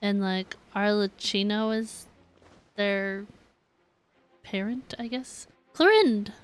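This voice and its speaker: A woman's voice: medium pitch, steady tone, takes it slowly, clean tone. A woman giving casual live commentary as she plays a game, musing aloud in a giggly way. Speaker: game streamer.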